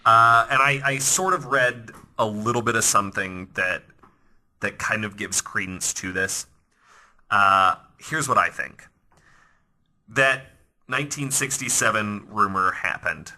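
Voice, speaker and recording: A man hosting a podcast, telling stories in a casual, animated, conversational way that often restarts mid-thought. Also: on the low side, unhurried. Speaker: podcast host. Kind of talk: casual storytelling podcast talk.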